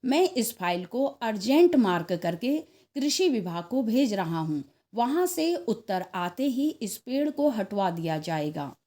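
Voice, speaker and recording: Woman voicing an officious, slow-spoken male office superintendent. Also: a little high, very animated, dark tone. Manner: hesitant, bureaucratic.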